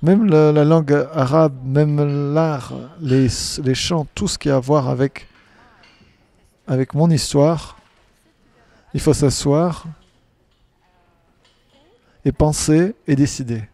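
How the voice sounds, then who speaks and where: A male conference interpreter with a warm, mellow voice, rendering a panel speaker live in short halting phrases. He often breaks off and corrects himself as he goes. The sound is dry and close, with no room around it. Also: on the high side, fairly even intonation, no echo. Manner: halting, with restarts and self-corrections.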